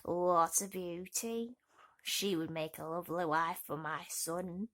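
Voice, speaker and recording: A woman's voice: fairly low pitch, steady tone, slow pace, fairly bright tone. A woman voicing a bossy, snappish old mother toad in a bedtime storybook. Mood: scheming, admiring. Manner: snappish, commanding.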